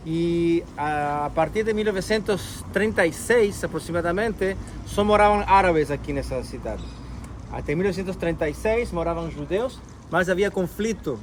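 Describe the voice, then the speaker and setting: A man working as a tour guide, giving an outdoor history talk and lecturing in a hesitant way with frequent pauses. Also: on the high side, a little hiss or hum. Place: outdoors in an old town.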